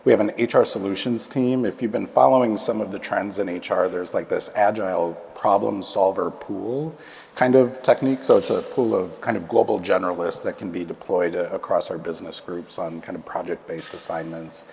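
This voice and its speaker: A man speaking on a conference panel in a measured, reflective way. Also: deep voice, fairly even intonation, vocal fry, darkish tone. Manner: measured, reflective, with nervous laughs at the start. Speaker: panelist, HR leader. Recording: reverberant hall, faint room noise.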